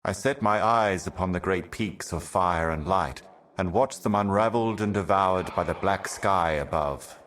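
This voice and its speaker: A man voicing an ancient, weary otherworldly envoy in a video game, delivering cryptic poetic monologues in a grave, brooding, measured manner. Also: deep voice, fairly bright tone. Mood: dark, ominous. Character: ancient solemn otherworldly envoy, weary eternal servant of cosmic darkness.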